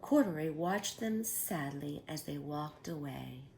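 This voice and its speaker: A woman reading a children's picture book aloud with animated, storytime expression. Her voice sits on the lower side but swings widely in pitch, and she stops to ask her young listeners questions. A faint noise lies under the recording. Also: measured pace.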